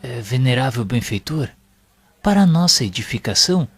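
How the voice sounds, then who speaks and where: A man's voice: medium pitch, animated, normal speed, breathy edge, dry recording, faint music behind. A man with a Brazilian accent playing an earnest, reverent spirit disciple in an audio novel, formal and deferential, with an inquiring manner. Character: earnest, reverent male spirit disciple narrating his lessons. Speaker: audio drama narrator. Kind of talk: dramatized scripted narration.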